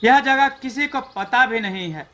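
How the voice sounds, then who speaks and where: High-pitched, bright male storyteller. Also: measured pace. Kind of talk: scripted folk-tale narration in Hindi storytelling manner.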